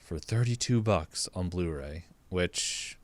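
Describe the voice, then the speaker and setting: A man with a low, clean voice chats casually as a podcast co-host. He takes his time, thinking aloud in loose, hesitant runs, and the sound is dry and close. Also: animated, takes it slowly, no echo. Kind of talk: casual chat.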